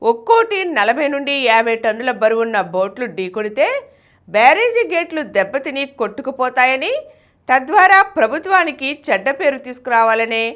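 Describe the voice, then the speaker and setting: High, lively woman newsreader. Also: a little fast. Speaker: news reader. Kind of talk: scripted news reading.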